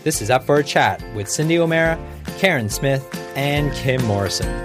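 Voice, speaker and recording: A man's voice: mid-range voice, lively intonation, moderate pace. A man reading a scripted show intro in an upbeat announcing voice. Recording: voice over loud music.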